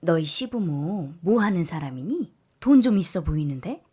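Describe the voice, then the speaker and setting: A woman voicing a greedy, nagging middle-aged mother who talks in a loud, prying, pushy way. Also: fairly low pitch, big pitch swings, moderate pace, slightly rough.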